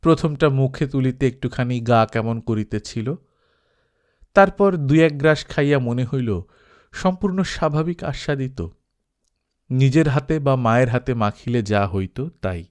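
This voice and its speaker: A man reading a literary novel aloud as an audiobook storyteller, expressive, with dramatic pauses. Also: mid-range voice. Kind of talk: scripted literary narration.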